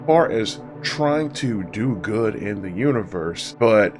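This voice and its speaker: A man's voice: lowish voice, animated, slow pace. A man hosting a casual, conversational review monologue with informal, rambling asides. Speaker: host of an audiobook review series. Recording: music behind the voice. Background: music.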